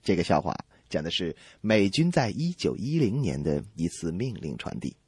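A man's voice: low-pitched, very animated, moderate pace, background noise. A man co-hosting a scripted comedy radio show, telling jokes and bantering as the exasperated straight man. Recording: music bed behind voice.

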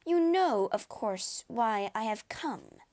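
A woman's voice: fairly high pitch, expressive, slow, darkish tone, no room sound. A woman voicing a domineering elderly aristocratic lady who talks in an imperious, scolding way, raised above her own voice.

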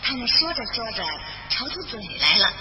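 Animated, high-voiced woman storyteller. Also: brisk, heavy background noise. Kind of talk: animated scripted children's bedtime narration. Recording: dull roomy recording with music behind.

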